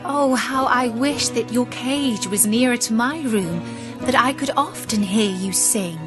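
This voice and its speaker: A woman voicing a kind-hearted, timid young storybook heroine in an earnest, expressive way. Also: fairly high pitch, a little slow, over music, close to an everyday voice. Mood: kindly.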